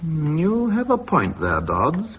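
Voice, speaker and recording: An actor playing a dignified older company chairman in a British radio drama, speaking formally and deliberately in a British accent. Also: a little high, wildly swooping pitch, slightly rough, full character voice. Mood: measured, firm. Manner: formal, deliberate, measured delivery. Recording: muffled radio drama recording, faint hiss.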